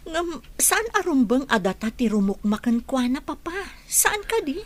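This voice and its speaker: A woman's voice: high-pitched, very animated, normal speed. A woman playing a bossy, questioning adult daughter who runs the house in a radio comedy-drama. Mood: coaxing.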